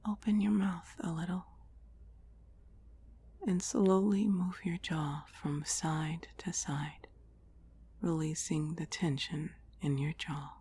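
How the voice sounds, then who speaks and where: A woman whispering a guided meditation in a breathy, soothing, deliberate voice with long pauses between phrases. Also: low-pitched, fairly even intonation, warm tone, a little hiss or hum. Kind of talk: whispered guided relaxation, ASMR-like scripted narration.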